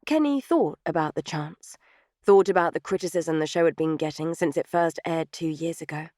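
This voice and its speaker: Female audiobook narrator: slightly low voice, scripted storytelling, close and dry studio sound. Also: normal speed, a little mellow, no room sound. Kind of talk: scripted third-person fiction narration.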